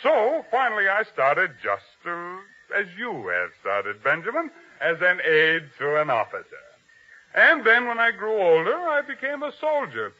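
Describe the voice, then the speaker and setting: An actor playing a proud, ambitious army captain, boastful and self-important, in a 1950s radio drama style. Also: male voice, sits high, huge pitch swings, unhurried, sharp tone, full character voice. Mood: boastful reminiscing. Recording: dull old radio broadcast recording.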